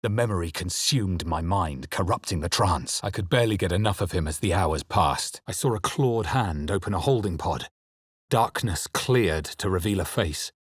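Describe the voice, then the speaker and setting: A man voicing a brooding adventurer hero in a video game, delivering measured, theatrical lines with grave weight. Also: deep voice, animated, slightly rough, dry recording, studio quality. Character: brooding male fantasy adventurer hero, adult, narrating and spellcasting. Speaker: player-character voice actor.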